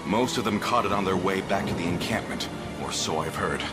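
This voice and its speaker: A man's voice: sits low, a little fast, lightly acted. An actor playing a deferential soldier serving a noble lady in a fantasy game, formal in manner. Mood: grave, deferential. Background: music.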